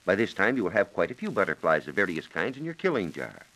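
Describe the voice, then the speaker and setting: Deep, animated 1940s American male science reporter. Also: poor audio. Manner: measured, instructive, precise. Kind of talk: scripted 1940s radio explanation. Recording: 1940s radio broadcast recording.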